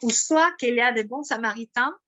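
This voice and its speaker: Bright-voiced woman. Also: a little high, normal speed. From Mexico. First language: Spanish. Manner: animated storytelling with many restarts. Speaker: guest. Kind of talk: casual interview, personal story.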